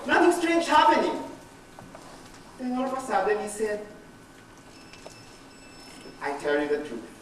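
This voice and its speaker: An actor playing a bitter, guilt-ridden betrayer in a dramatic stage monologue, swinging from muttering to anguished outbursts. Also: male voice, high-pitched, a bit bright. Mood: secretive, tense. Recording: very echoey hall from far off, faint noise.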